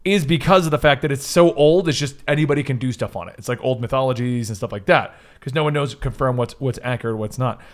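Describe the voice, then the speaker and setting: A man's voice: medium pitch, fast, hardly any pauses. A man co-hosting a casual list discussion, reading items out and commenting in a jokey, self-correcting way. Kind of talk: casual chat with read-out news items.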